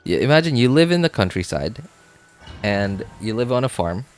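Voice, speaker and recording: A man's voice: mid-range voice, very animated, normal speed, faint music behind. A man chatting casually on a game livestream, thinking aloud, repeating himself and often checking in with "right?".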